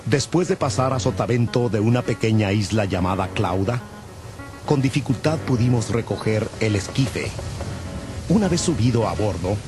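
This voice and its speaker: A man narrating scripture as a scripted audio Bible, in a measured, solemn manner. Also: on the low side, animated. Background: music.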